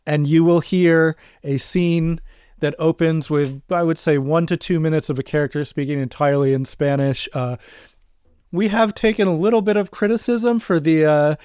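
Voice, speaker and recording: Clear, higher-voiced man co-hosting a podcast. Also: fairly even intonation, moderate pace, darkish tone, dry recording, studio quality. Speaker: podcast co-host and audio drama creator. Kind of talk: casual podcast commentary.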